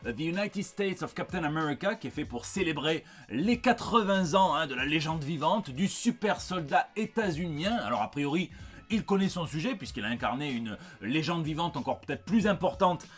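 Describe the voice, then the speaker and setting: Higher-pitched French man, wry comics host. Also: animated, normal speed. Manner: wry, joking, chatty. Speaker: host of a comic book news show. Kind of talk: scripted news review with conversational asides.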